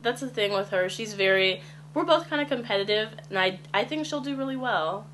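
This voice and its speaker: A woman in her twenties introducing herself candidly for a casting tape, talking in a relaxed conversational way with frequent ums. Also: medium pitch, a little fast, a little hiss or hum. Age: 20s. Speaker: casting applicant.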